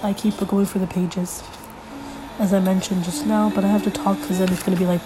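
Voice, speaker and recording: A young woman hosting a casual solo podcast, a little congested from being sick, rambling with lots of fillers as she thinks out loud. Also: medium pitch, little pitch movement, a little fast, rough recording. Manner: rambling, filler-heavy, thinking aloud while flipping pages. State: congested from a recent illness. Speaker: podcast host.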